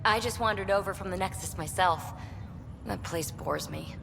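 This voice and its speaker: A woman's voice: lowish voice, normal speed. A woman playing a laid-back, restless spacefarer in a video game, chatting in a casual, offhand way. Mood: laid-back, wistful. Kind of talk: scripted video game dialogue. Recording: echoey hall with clear ambient noise.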